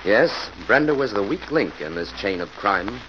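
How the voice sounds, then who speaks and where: A man plays an assured, genial investigator in an old-time radio mystery. His low voice explains things in a measured way, over a dull recording with clear background noise. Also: lively intonation, lightly acted. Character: assured, genial male detective hero. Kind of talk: 1940s radio acting.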